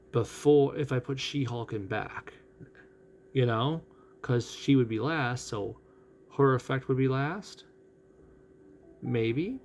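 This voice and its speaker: A man with a gravelly, creaky voice at a middling pitch talks through a game for a stream. He muses aloud in a casual, conversational way, working through his options as he goes. Music plays behind him. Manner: musing, thinking out loud. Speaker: gaming streamer. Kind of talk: casual live game commentary.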